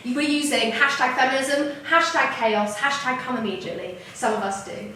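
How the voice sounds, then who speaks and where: Female stage performer, high voice, scripted audience address, distant mic. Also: moderate pace, heavy reverb, slight background noise. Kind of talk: scripted stage introduction and singing. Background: loud piano music.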